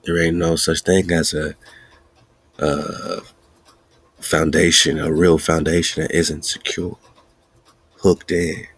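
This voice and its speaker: A podcast host speaking in a slow, emphatic, repetitive preaching style with a husky voice. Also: male voice, very deep voice, little pitch movement, fairly bright tone, no room sound. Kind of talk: casual preaching-style monologue.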